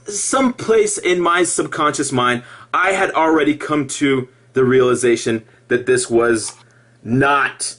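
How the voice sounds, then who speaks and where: A man giving an impassioned personal monologue to viewers, halting and repeating himself, with emphatic stresses. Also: a little high, a bit bright. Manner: halting, repetitive, with emphatic outbursts. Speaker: former Jehovah's Witness video blogger.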